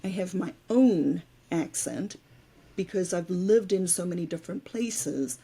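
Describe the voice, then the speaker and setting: Woman, 50s, from South Africa, native Afrikaans, podcast guest, storytelling, lowish warm tone. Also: measured pace, slightly rough. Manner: open, storytelling, relaxed. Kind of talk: casual interview talk.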